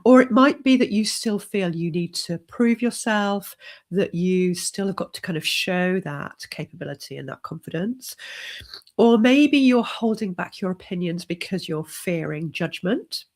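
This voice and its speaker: A middle-aged woman leadership coach hosting a live stream while she has a cold, talking in a measured, encouraging way. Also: fairly low pitch, darkish tone. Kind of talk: solo live stream talk.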